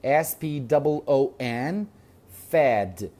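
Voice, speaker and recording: A man's voice: mid-range voice, lively intonation, very slow, warm tone. A man with a Kurdish accent teaching English in an online lesson, patiently repeating words, spelling them out letter by letter and checking in with "okay?".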